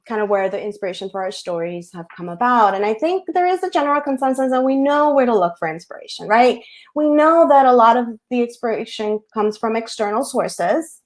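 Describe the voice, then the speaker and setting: Animated woman on a video call. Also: fairly high pitch. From United States. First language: Spanish. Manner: animated, hesitant with many ums. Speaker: picture book author. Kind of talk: casual webinar talk.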